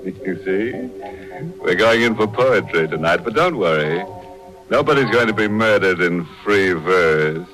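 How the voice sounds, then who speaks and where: Slow, ghoulish radio horror host. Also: male voice, sits low, expressive, a bit bright. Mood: mock sinister. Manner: drawn-out delivery, mock-sinister punning. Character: ghoulish macabre male horror show host, gleefully morbid. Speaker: host and narrator. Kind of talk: 1940s radio horror narration. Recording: old 1940s radio recording with music.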